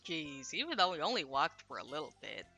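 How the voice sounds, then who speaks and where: A woman with a bright, forward voice on the slightly low side plays a visual novel on stream. She reads translated lines aloud and drops in casual, slangy comments. Soft music plays behind her. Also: very animated, brisk. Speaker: game streamer. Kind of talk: live visual novel playthrough, reading translated dialogue aloud.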